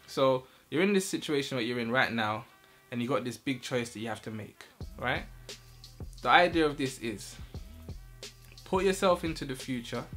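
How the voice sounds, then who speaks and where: A man's voice: mid-range voice, fairly bright tone. A man talking casually to camera as a vlogger, in a loose, chatty way, often checking in with his listener.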